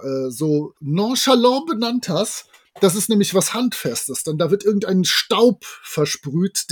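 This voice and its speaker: A man's voice: high-pitched, husky. A man, a podcast co-host casually retelling a story in a playfully ironic, colloquial way. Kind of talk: casual chat retelling and reviewing an audio drama.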